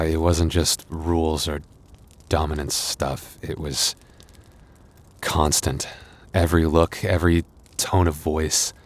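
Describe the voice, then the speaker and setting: A man voicing a tender, bashful young werewolf boyfriend in an intimate ASMR roleplay, halting and stammering, with breathy little laughs and sighs. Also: very deep voice.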